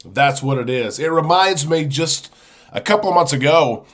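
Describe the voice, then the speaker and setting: A man's voice: on the high side, expressive, normal speed. A man hosting a live show, chatting casually and greeting viewers one by one, congested and sniffly from a chest cold. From United States. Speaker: host.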